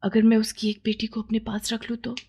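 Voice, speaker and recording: A woman's voice: on the high side, monotone, fairly quick, darkish tone, husky. Woman acting a yearning, self-justifying village woman. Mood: anxious longing. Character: childless village woman, yearning, scheming, defensive new mother. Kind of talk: dramatized Hindi moral story.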